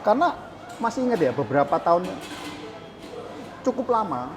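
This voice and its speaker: A man's voice: sits high, sing-song, moderate pace, a little hiss or hum. A man from hosting a casual interview, dropping in English phrases. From Surabaya, East Java, Indonesia. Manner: mixes in English phrases, emphatic. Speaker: talk show host.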